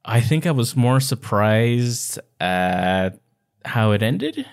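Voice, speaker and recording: A man's voice: medium pitch, animated, slow pace, creaky, clear, resonant tone. A man co-hosting a podcast in casual chat, with a joking, teasing manner. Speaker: podcast co-host.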